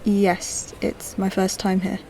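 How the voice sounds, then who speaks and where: A woman playing a courteous, curious old-model android in a sci-fi audio drama, speaking in a measured, polite way. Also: medium pitch, completely flat delivery, vocal fry, mellow, faint music behind, poor audio. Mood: polite, guarded.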